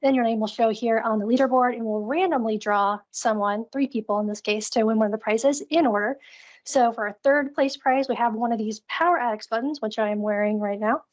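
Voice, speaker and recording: High-pitched woman, upbeat, on a dull call recording. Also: fairly quick. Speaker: community call co-host and demo presenter. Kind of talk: casual live screen-share presentation. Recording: dull, lo-fi online call audio.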